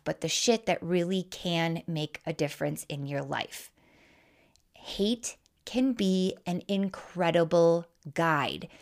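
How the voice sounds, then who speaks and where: A woman with a Midwestern American accent hosting a podcast monologue, speaking candidly and informally with emphatic, persuasive delivery. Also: fairly low pitch. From Wisconsin, American Midwest. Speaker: life coach, podcast host.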